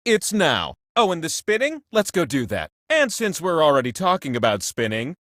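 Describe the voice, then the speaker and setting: A grand silly god-wheel trivia host for a party game, super swoopy delivery, gushy, really dry close sound. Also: male voice, fairly high pitch, wildly swooping pitch, normal speed, fairly bright tone, no room sound. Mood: playful, eager. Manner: gushing, mock-grand, playful exclamations. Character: whimsical, grandiose godlike trivia wheel host, excitable and doting. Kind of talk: theatrical scripted game host lines.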